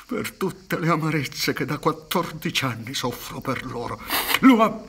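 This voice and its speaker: A middle-aged man acting in a radio drama as an aggrieved, proud peasant son, deferential yet impassioned, with a husky voice. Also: fairly high pitch, big pitch swings, unhurried, fairly bright tone, heavily acted. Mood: furious. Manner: deferential, then impassioned and indignant. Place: theatre stage set up for radio recording. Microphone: stand microphone with headphones.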